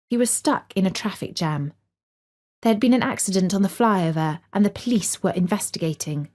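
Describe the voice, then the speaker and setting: A woman's voice: mid-range voice, dark tone. Woman narrating a children's story.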